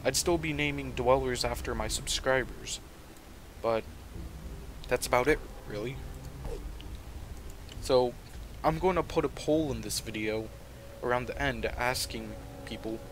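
A man casually commenting on his own gameplay at home, talking quietly and rambling, with the game's sound underneath. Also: medium pitch, measured pace, pauses often, background noise. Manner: soft-spoken, rambling. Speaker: gaming channel host.

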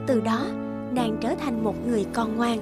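A woman's voice: a little high, steady tone, normal speed, loud music behind the voice. A woman storyteller giving scripted narration of a fairy tale.

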